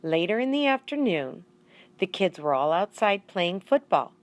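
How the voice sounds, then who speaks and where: A woman reading a children's story aloud in an expressive, deliberate narrating style. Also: fairly low pitch. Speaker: audiobook reader.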